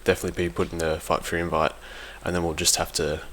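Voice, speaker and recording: A man with a low, fairly monotone voice talks off the cuff like a competitive gamer sharing opinions. His tone has a bright, forward edge. He is informal and hesitant, rewording as he goes, over some background noise. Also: quick pace. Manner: hesitant, informal, self-correcting. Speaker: competitive gaming team member giving an audio log. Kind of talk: casual unscripted commentary.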